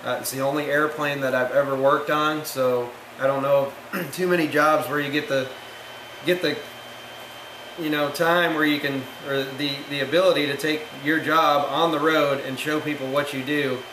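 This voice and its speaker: A man's voice: fairly high pitch, fairly even intonation, moderate pace, bright, forceful tone. American man in an echoey, noisy room. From Rochester, New York, United States. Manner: plain-spoken, explanatory, frequent you-knows. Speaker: military aircraft maintainer, demo team chief. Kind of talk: informal interview answers. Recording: echoey room with clear background noise.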